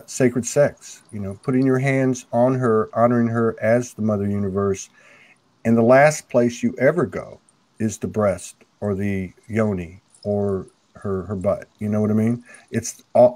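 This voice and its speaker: Middle-aged male host, loud carrying voice, earnest and halting; low pitch, dry earphone-mic sound. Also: dry recording. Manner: earnest, halting, many restarts. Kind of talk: spiritual video call conversation. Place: home room, indoors. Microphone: earphone headset mic on a video call.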